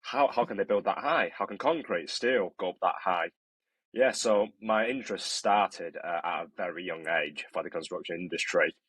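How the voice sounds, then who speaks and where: A young man talks about his studies in a podcast, earnest and hesitant with frequent restarts. His voice sits low and husky. The recording is dry and close. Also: moderate pace, fairly bright tone, no room sound. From northeast China. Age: 20s. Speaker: construction student guest. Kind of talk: podcast interview.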